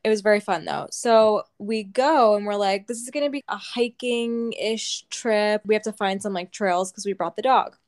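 A young woman co-hosting a podcast, telling a story in a casual, animated way and swearing freely. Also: mid-range voice, moderate pace, hardly any pauses. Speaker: podcast co-host.

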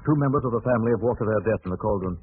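An actor playing a resolute, inquisitive amateur sleuth in an old-time radio mystery, with an assured, dramatic, probing delivery. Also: male voice, fairly low pitch, very fast, husky. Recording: tinny, noisy vintage radio recording.

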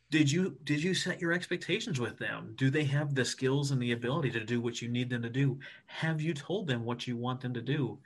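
A man from the United States, a Navy officer guesting on a podcast, talking in an animated, emphatic and self-deprecating way. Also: medium pitch. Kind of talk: casual podcast interview.

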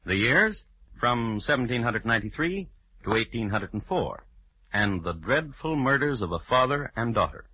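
A man playing a dry, formal crime historian, narrating in deadpan 1950s radio style. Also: medium pitch, moderate pace, breathy edge, full character voice. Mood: formal, ominous. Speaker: narrator and host. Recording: muffled vintage radio broadcast recording.

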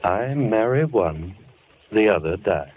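A menacing captor who speaks low and softly, with chilling courtesy, as if musing aloud. It is vintage radio horror acting, on a tinny recording with clear background noise. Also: male voice, expressive. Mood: menacing politeness. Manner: polite, unhurried, sinister, musing. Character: soft-spoken, menacing male killer keeping two girls captive.

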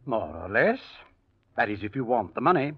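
An actor playing a formal, slightly awkward, matter-of-fact estate lawyer in an old-time radio drama. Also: male voice, fairly low pitch, very animated, moderate pace. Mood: businesslike. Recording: dull, lo-fi old radio recording.

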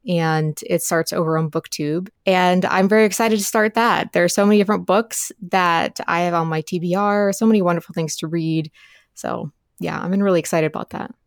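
A woman's voice: on the low side, steady tone, fairly quick, dry recording, clean studio sound. A woman co-hosting a podcast, chatting cheerfully about her reading plans. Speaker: podcast co-host. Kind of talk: casual chat.

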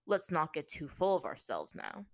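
A woman performs a male warrior captor from a fantasy story. He taunts in a calm, offhand tone with smug condescension and cool menace. The voice sits on the low side and is recorded dry and close. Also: moderate pace, no echo. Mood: smug, mocking.

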